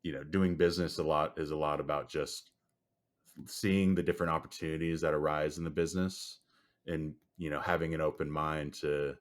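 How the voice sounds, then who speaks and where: Man, native Chinese speaker, very low voice, monotone, measured, lots of "you know". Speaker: guest, storage company executive. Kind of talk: podcast interview answer.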